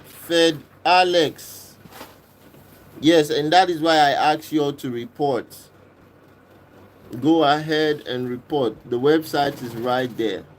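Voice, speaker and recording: A man from Kenya hosting a livestream, reading and answering viewers' comments in a casual, unhurried way and often repeating himself. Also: fairly high pitch, a little hiss or hum. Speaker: livestream host reading chat. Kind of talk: casual live chat.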